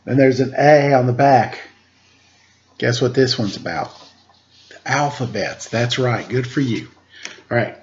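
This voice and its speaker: A man's voice: mid-range voice, unhurried, pauses often, muffled recording, slight background noise. A man doing a picture book read-aloud as a storyteller, playful and informal, with a touch of theatrical announcing. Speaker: storyteller, teacher.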